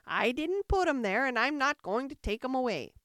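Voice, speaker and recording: Woman voicing a loud, snappish storybook sheep. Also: sits high, very animated, normal speed, smooth, no echo, clean studio sound, voice pushed up. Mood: grumbling, curt. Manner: curt, scolding, barking commands. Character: bossy, snappish old knitting sheep, female shopkeeper. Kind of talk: storybook character voice.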